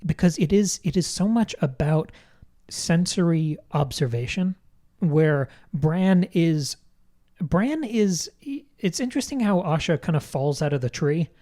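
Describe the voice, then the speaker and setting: A man with a soft-edged, mellow voice talks through a book as a podcast co-host. He is reflective and articulate, in a relaxed conversational register. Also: fairly high pitch, measured pace.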